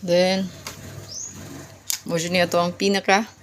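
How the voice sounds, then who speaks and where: Over a clearly noisy amateur recording, a vlogger with a Visayan Filipino accent talks in a middling pitch. The delivery is casual and informal, like explaining tips to viewers. Key words get repeated, with polite asides to the audience. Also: flat delivery, slow pace. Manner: repeats key words and numbers, addresses viewers as ma'am and sir. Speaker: vlogger giving number tips.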